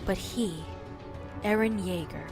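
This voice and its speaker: A woman's voice: on the low side, takes it slowly, gravelly, over music. Woman acting a cold, bossy conspirator boss. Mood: cool, calculating. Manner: scolding, commanding, condescending. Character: cold, bossy female conspirator commanding two bungling underlings. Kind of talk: dramatic voice acting in audio drama.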